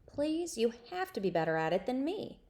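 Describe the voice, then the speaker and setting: A woman's voice: a little high, sing-song, moderate pace, darkish tone. A woman voicing an anxious, earnest schoolgirl in pleading storybook dialogue. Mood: desperate pleading.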